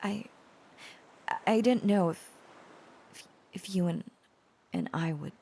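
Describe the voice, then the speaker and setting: A woman playing a shy, tender young dragon-blooded girlfriend in an intimate audio roleplay, speaking hesitantly with stammers and soft pauses. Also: fairly low pitch, gravelly, slight background noise. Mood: nervous, explaining.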